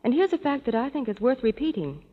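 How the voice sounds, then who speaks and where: A cheerful spokeswoman makes a persuasive pitch in a 1930s radio commercial. Her pitch swings widely. The broadcast sound is dull, with a long hall reverb. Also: sing-song, quick pace. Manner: bright, persuasive sales chat.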